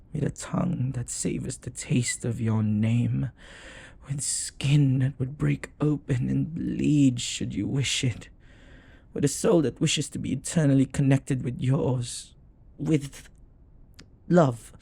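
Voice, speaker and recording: A jealous, devoted demon lover played by a man in an intimate audio roleplay. The voice is very husky and breathy with a gravelly creak, and it moves slowly and deliberately through intense, dramatic phrasing. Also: medium pitch, darkish tone, exaggerated voice. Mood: tender, adoring. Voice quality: husky, breathy, often dropping to a whisper. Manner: slow, deliberate, dramatic pauses.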